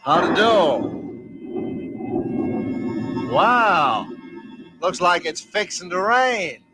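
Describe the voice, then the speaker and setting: A man's voice: high voice, very animated, extremely slow, heavily acted. An actor playing an easygoing, folksy American real estate salesman with rambling patter, in 1940s radio drama style. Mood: folksy, friendly. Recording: old radio broadcast, music behind.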